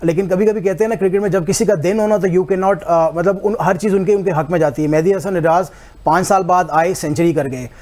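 A man's voice: high voice, fairly quick, a little mellow. A man giving cricket analysis in a TV studio, switching often into English and speaking emphatically. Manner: mixes English into Hindi-Urdu, emphatic.

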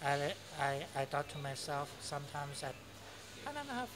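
A man in his thirties with a Thai accent, talking slowly and searching for words in a casual interview in a cafe. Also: on the high side, very animated. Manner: unhurried, searching for words, frequent fillers. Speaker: interviewee, English teacher. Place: cafe by large windows, indoors. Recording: noisy cafe ambience.

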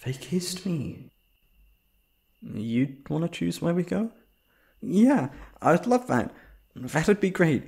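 Man acting a shy, flustered young romantic, pitched up. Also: expressive, takes it slowly, pauses often, darkish tone, heavily acted. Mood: shy delight. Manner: hesitant, rambling, breathy. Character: shy, flustered, lovestruck young man after a first date. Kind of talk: ASMR roleplay acting.

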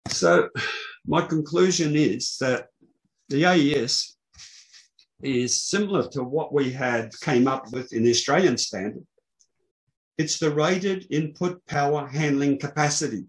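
An older man presenting technical material on a video call, explaining in a halting way. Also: on the high side, low-quality recording. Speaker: presenter, loudspeaker engineer. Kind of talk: technical presentation to an online meeting. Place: small indoor room, online video call. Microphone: video call microphone.

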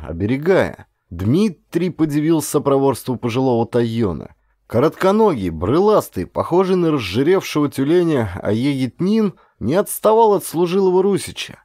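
A man narrating a historical adventure audiobook in an expressive, theatrical way with deliberate pauses. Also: medium pitch, no echo, clean studio sound.

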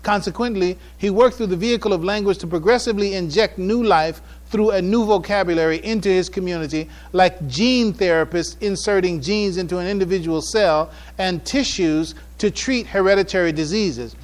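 A man giving a religious public address, halting and repeating phrases for emphasis. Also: sits high. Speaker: speaker addressing a mosque congregation.

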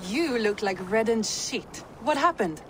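A woman voicing Randvi, a composed, caring Viking noblewoman who speaks in a calm, measured, dryly wry way. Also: a little high. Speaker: game character. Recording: game audio with clear ambient noise.

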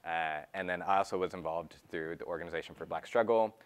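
A man in his twenties from Texas, introducing himself on a panel in a measured way with many fillers. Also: deep voice, background noise. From Texas, USA. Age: 20s. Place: large meeting room.

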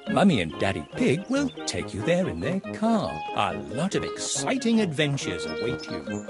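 A friendly male narrator for a children's cartoon game. The scripted storybook delivery is mid-pitched and extremely animated, with loud music underneath. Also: moderate pace.

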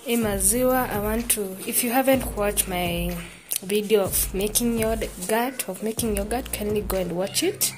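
A woman's voice: mid-range voice, normal speed, music in the background. Chatty young Kenyan woman vlogger. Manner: chatty, laughs often, switches languages. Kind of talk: casual vlog chat mixing Swahili and English. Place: small apartment room indoors. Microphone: phone camera at arm's length.

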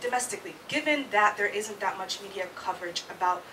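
Loud woman asking a question from off mic. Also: mid-range voice, expressive, far from the mic. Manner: loud, quick asides. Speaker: audience questioner. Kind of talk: question from the audience. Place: conference room. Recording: off-mic, clear room noise.

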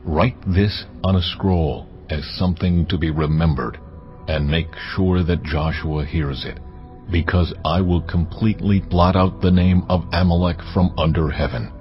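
A man's voice: sits low, measured pace, dull audio, faint music behind. A man voicing God, speaking solemn commands with grave authority.

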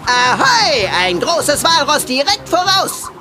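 A swaggering pirate cat in a kids' cartoon audio play. He is boisterous and hot-headed, swooping about over clear background music. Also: very high-pitched, big pitch swings, slow, full character voice. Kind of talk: children's cartoon audio drama acting.

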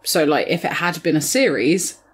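A woman's voice: deep voice, expressive, normal speed, slightly rough. A British woman in her twenties chatting casually and bluntly as a guest on a film review podcast.

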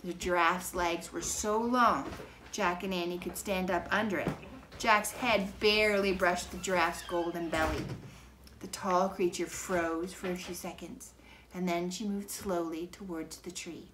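A middle-aged woman reads a children's story aloud in a fairly low voice. Her telling is measured and dramatic, recorded in a living room with clear background noise. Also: fairly even intonation, fairly bright tone, poor audio. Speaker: storybook reader. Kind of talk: expressive children's book narration. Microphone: camera a few feet away.

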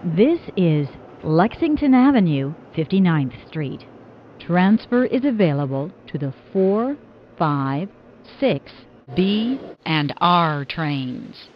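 A woman reading scripted subway announcements as a transit announcer, formally and in separate, clearly spoken phrases. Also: fairly low pitch, sing-song, takes it slowly, muffled recording, slight background noise. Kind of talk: scripted subway train announcement, pieced from clips.

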